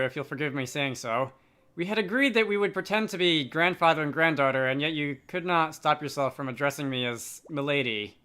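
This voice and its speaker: A man voicing a polite, thoughtful young princess from a game, with formal, gentle phrasing. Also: on the high side.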